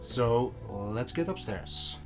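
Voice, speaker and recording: A man's voice: mid-range voice, expressive, slow pace. Guy doing a casual home vlog, explains stuff conversationally, bit of music in the back. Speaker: audio vlogger. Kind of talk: casual vlog talk to viewers. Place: small room at home. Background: music playing.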